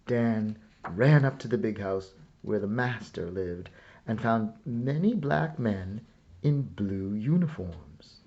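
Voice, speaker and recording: A man narrating a children's storybook aloud in a measured, deliberate way with pauses. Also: on the low side, expressive, warm tone, highs cut off. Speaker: storyteller reading a picture book aloud.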